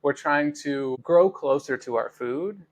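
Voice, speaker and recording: A man's voice: a little high, unhurried. A man hosting a podcast, explaining his work in an earnest, thoughtful way with frequent fillers and restarts. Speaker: podcast host, grower. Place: Los Angeles studio.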